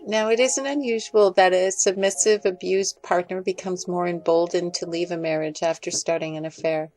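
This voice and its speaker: A woman's voice: fairly low pitch, steady tone, moderate pace, a little mellow, low-quality recording. A woman giving critical commentary as a video creator's voice-over. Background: background music.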